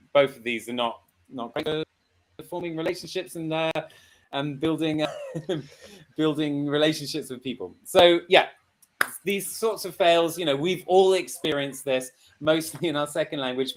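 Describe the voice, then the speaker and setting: A man's voice: fairly high pitch, fairly bright tone. A man hosting a casual online lesson, chatty, with frequent laughs and fillers. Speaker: host and English teacher.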